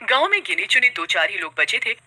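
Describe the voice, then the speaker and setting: A woman's voice: medium pitch, sing-song, a little fast, pushed and bright. A woman narrating a scripted story in a dramatic style.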